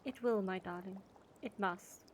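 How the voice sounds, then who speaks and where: A woman's voice: mid-range voice, a little slow, slight background noise, close to an everyday voice. An actress playing a loving but firm village mother in a period audio drama, soothing yet stern, with formal phrasing. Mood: tender.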